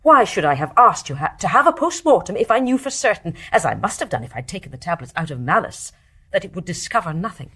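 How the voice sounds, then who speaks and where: An actress playing a formal, insistent, dutiful British private nurse in a radio drama. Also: female voice, lowish voice, big pitch swings, fast, fairly bright tone, slightly rough, exaggerated voice. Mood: affronted. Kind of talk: British stage-play radio acting.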